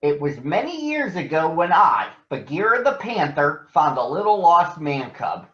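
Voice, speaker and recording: An earnest, protective panther, played by a man, narrates a storybook jungle tale. The voice is measured and a little high. It sounds roomy, with slightly muffled lo-fi audio. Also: big pitch swings, fairly bright tone. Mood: earnest storytelling. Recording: roomy echo, dull lo-fi sound.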